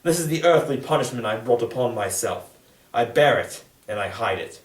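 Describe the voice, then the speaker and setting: A man's voice: mid-range voice, lively intonation, moderate pace, close to an everyday voice. A man voicing a proud, anguished noblewoman in a formal, dramatic, pleading manner for a literary reading. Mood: bleak remorse. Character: proud, anguished noblewoman confessing to her secret daughter. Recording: echoey room, distant microphone.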